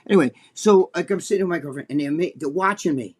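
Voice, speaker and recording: Older New Jersey man, podcast co-host, foul-mouthed animated storyteller with a slightly higher voice. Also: fast, breathy edge. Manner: animated, profane, acts out quotes in a high voice. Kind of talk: casual storytelling chat.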